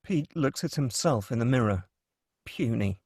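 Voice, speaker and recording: A man's voice: medium pitch, animated, a little slow, darkish tone, slightly rough, no room sound, studio quality. A man narrating a screenplay, reading out scene headings and stage directions. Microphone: close, dry microphone.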